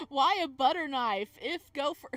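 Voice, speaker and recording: A woman streamer playing a visual novel, mixing read-aloud game text with casual, animated, giggly commentary. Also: high voice, takes it slowly, no echo.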